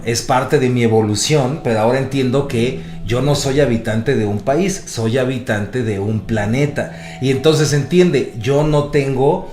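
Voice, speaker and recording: A man who co-hosts a relaxed panel talk. He speaks in a thoughtful, searching way, often trailing into lower musings. The room has a noticeable echo. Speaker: podcast co-host. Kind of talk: casual panel discussion.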